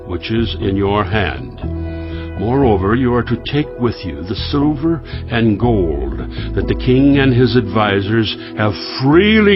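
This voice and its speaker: Man playing a stately, low-voiced king issuing decrees. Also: close to an everyday voice. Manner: stately, measured, formal. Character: regal Persian king dictating a formal decree. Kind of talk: dramatized scripture reading. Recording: muffled, music behind the voice. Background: music.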